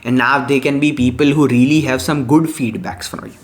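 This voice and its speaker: A man's voice: mid-range voice, steady tone, brisk. Earnest young man giving life advice. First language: Gujarati. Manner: earnest, preachy, repeats short punchy words. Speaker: self-help vlogger. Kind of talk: motivational monologue to camera.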